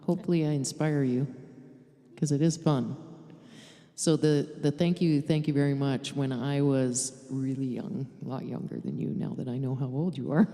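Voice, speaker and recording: A woman with a low voice, a singer-songwriter hosting a live stream, chatting casually and laughing as she tells stories between songs. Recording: reverberant room with loud guitar music.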